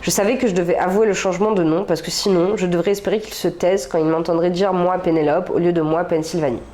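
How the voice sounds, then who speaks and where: A woman's voice: fairly low pitch, fairly even intonation, fast talker, warm tone. Chatty young woman reading a story aloud. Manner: chatty, reads a story aloud with small slips and asides. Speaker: podcast co-host reading stories. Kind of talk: casual chat and reading aloud.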